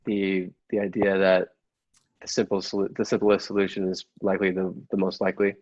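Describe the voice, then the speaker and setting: Evasive inspector for comic radio improv man performer: lowish voice, halting and repetitive, slightly lo-fi. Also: monotone, darkish tone, no echo. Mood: defensive, muddled. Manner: halting, repeating himself. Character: evasive, muddled police inspector defending himself. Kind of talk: improvised comedy radio play. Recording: dull, lo-fi close recording.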